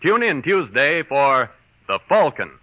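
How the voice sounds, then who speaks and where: An American male announcer from the golden age of radio, reading his script in a fairly high voice with lots of movement in pitch. The recording is old and dull. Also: big pitch swings, unhurried.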